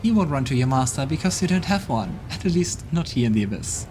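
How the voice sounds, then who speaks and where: A man's voice: medium pitch, lively intonation, a little fast, darkish tone, close to an everyday voice. A man voicing an arrogant, scornful female demon queen with a contemptuous, scoffing manner, over game music. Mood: haughty.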